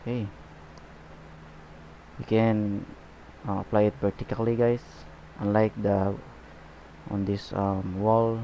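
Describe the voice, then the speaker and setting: A man giving a painting tutorial as he works, talking in a relaxed, unhurried way and often saying "okay" and "guys". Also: lowish voice, a little hiss or hum. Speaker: painting instructor. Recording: slightly dull, lo-fi recording.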